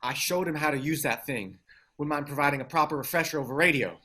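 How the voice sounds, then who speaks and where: Guy acting a blunt wry fighter type, highish bright voice, swears, table read drama. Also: fast. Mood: dry, grim. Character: combative, wry armed male activist, foul-mouthed. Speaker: actor in a screenplay table read. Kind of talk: acted script reading.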